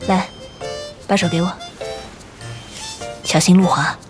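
A reserved, devoted woman in a modern radio drama. Her voice sits fairly low, restrained yet tender. Music is clearly heard behind her. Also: steady tone, takes it slowly. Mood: calm, gentle.

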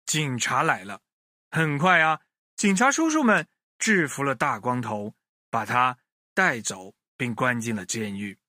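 A man telling a children's story in an expressive way, speaking directly to his young listeners. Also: medium pitch, measured pace, no echo, clean studio sound.

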